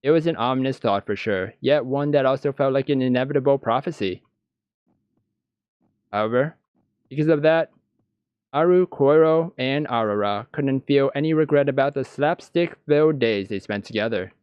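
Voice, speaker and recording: A man reading visual novel text aloud as scripted narration, with a deliberate, dramatic storytelling delivery. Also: medium pitch, smooth, no room sound, highs cut off.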